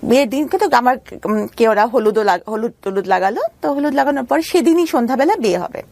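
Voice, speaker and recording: A Bangladeshi woman telling her personal story as an interview guest, halting and repeating phrases for emphasis. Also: fairly high pitch, animated, gravelly, darkish tone.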